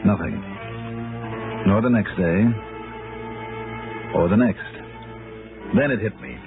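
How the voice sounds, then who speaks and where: Dogged hard-boiled radio detective, thin old recording. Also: male voice, lowish voice, big pitch swings, narrow, tinny audio. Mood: wry narration. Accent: American. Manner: brisk, wry, hard-boiled. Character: dogged, wisecracking male insurance investigator narrating his case. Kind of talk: 1960s American radio detective drama acting. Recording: band-limited old radio recording with music.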